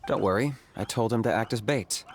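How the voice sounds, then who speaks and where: An actor playing a stoic, level-headed young hunter in a video game, speaking in a deadpan, matter-of-fact way. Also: male voice, fairly low pitch, brisk, slight background noise, lightly acted.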